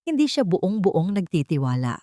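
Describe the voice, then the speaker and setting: Babaeng nagkukuwento nang madrama. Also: female voice, on the low side, sing-song, moderate pace, warm tone, dry recording, studio quality. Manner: dramatic storytelling, reads all parts herself. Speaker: narrator. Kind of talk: scripted story narration.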